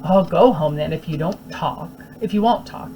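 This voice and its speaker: A woman voicing a small, eager peasant boy in a storybook reading, with a high, excited child voice. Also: normal speed, slight background noise, poor audio. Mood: frightened.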